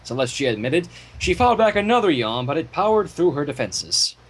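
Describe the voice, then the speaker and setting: Husky, animated man reading a story. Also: a little high, moderate pace, low-quality recording. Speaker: story narrator. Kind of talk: expressive fan fiction reading.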